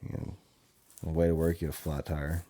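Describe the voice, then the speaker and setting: A man's voice: a bass voice, little pitch movement, fast talker, dark tone. A thirty-year-old American man chatting casually as a podcast host, in crude slang full of swearing. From Montana, USA. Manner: crude, profanity-heavy slang. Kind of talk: casual chat.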